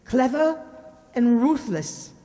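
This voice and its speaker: A woman's voice: high-pitched, lively intonation, slow, dark tone. A woman playing a frightened, secretive professional spy in a radio drama, with urgent, breathless, dramatic pleading. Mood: grave, secretive. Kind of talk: old-time radio drama acting. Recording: reverberant stage-like radio play recording.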